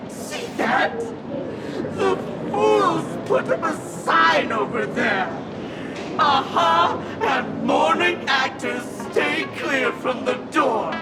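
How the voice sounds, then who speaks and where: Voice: high-pitched, reverberant, distant mic, exaggerated voice. An actor voicing a fussy, melancholy talking tavern door in a video game, theatrical and prone to exclaiming. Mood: indignant.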